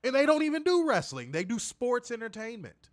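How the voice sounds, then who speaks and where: A man's voice: very high-pitched, huge pitch swings, a little fast. A man co-hosting a wrestling review show, ranting bluntly and profanely while stuffed up with a cold. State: congested, stuffed up. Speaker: podcast co-host.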